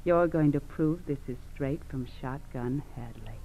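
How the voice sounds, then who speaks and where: An actress playing a domineering, scheming American criminal wife in 1940s radio crime drama, bossy, coaxing and belittling. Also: female voice, a bass voice, unhurried, music in the background. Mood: scheming, persuasive. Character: domineering, scheming criminal wife goading her frightened husband. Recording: dull old radio recording with hiss.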